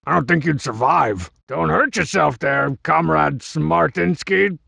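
An actor playing a cocky, scheming cartoon masked wrestler in a video game, boastful and mocking, with a gravelly, raspy voice. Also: male voice, on the high side, measured pace, bright, forceful tone, dry recording, full character voice. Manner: boastful, mocking, swaggering wisecracks. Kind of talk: video game character barks.

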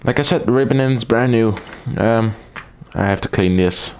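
Raspy-voiced man with a cold. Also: on the low side, moderate pace, noisy background. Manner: rambling, with frequent fillers and restarts. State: recovering from a cold. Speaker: typewriter collector reviewing a machine. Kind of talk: casual hands-on review. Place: small room at a desk, indoors. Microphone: camera mic, speaker off-camera.

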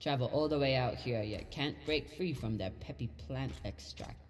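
An actor playing a steady, dry, matter-of-fact spaceship captain in a video game. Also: male voice, mid-range voice, normal speed, a little hiss or hum, close to an everyday voice. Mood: dry, disdainful.

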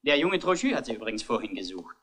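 An actor playing a weary, unhelpful barkeeper, laconic and wry, in a 1960s German radio crime drama. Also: male voice, medium pitch, very animated, fast, fairly bright tone, breathy edge.

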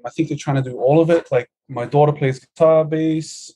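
A man's voice: a little high, fairly even intonation, normal speed, warm tone. A middle-aged man, a musician guest telling his story in a laid-back, easygoing way over a video call. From New Zealand. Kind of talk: casual interview chat.

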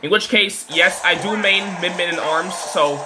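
A man giving casual gameplay commentary, chatty with frequent fillers and an occasional stutter, whooping loudly at big plays. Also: high voice, normal speed, very noisy. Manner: chatty, self-described stutter, many fillers. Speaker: gaming video creator.

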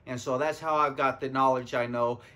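A man's voice: mid-range voice, fairly even intonation, fairly quick, bright, forceful tone. A man in his thirties hosting a casual product rundown to camera, seated in a living room. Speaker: video host, online reseller.

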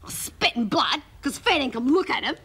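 A woman's voice: high-pitched, sing-song, moderate pace, breathy edge, full character voice. A woman comedian playing a gossipy, indignant, cheeky Australian schoolgirl, telling rambling slangy stories in a stand-up monologue. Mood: indignant, confiding. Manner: breathless rambling slangy storytelling. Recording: live stage, loud audience laughter and noise. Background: loud laughing crowd.

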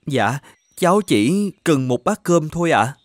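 A man voicing a poor, polite young student in an animated moral tale, hesitant and deferential as he talks. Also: fairly high pitch, unhurried, dry recording, studio quality. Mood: shy, polite.

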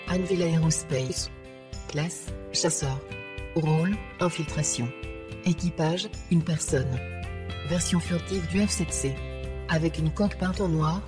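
A synthetic female voice of an AI assistant, giving formal, measured, deadpan scripted information. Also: fairly low pitch. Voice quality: synthetic text-to-speech voice. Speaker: AI ship assistant.

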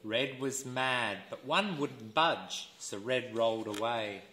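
A man reading a children's storybook aloud as an educator, expressive and deliberate, pausing for listeners to fill in words. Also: medium pitch. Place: indoors, seated in a room with wooden floor. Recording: roomy indoor camera sound.

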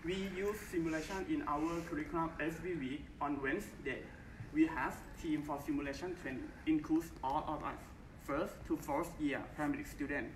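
A man's voice: a little high, steady tone. Young Thai man presenting in an echoey hall. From Thailand. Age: young adult. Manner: careful, halting English with simple phrasing. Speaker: conference presenter. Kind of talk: formal research presentation. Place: large bright conference hall. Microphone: far camera, no mic. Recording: echoey hall from far off, faint hiss.

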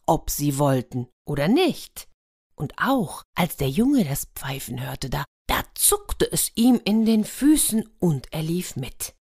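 A woman narrating a fairy tale for children, expressive and dramatic, with hushed passages and drawn-out words. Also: deep voice, no room sound, studio quality. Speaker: storyteller, host of a children's story channel.

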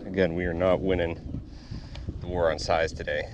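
Low-voiced man, casual, in outdoor noise. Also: flat delivery, moderate pace, highs cut off. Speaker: fishing vlogger. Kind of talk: casual outdoor vlog commentary. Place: outdoors in a kayak on a lake. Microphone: body-worn action camera. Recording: windy outdoor noise on action camera.

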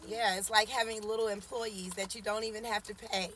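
A woman's voice: medium pitch, little pitch movement, brisk, fairly bright tone, slight background noise, rough recording. A woman in her forties hosting a casual live stream from a parked car, chatting and teasing and greeting viewers by name. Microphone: phone camera at arm's length.

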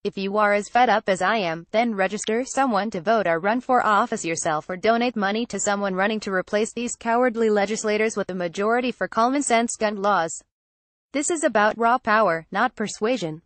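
A woman's voice: mid-range voice, a little fast, no echo. Woman steadily reading a column aloud. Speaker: reader of an opinion column. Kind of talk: read-aloud opinion column.

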